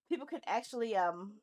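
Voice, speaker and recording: A woman's voice: a little high, a little mellow. A woman hosting a casual gaming livestream, talking in a rambling, candid, grumbling way. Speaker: video game livestreamer, host.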